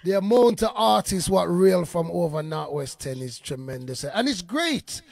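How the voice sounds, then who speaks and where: High gravelly man hosting over music. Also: lively intonation, normal speed, a little mellow. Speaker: radio show host. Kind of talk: lively sing-song radio presenting. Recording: music bed behind the voice.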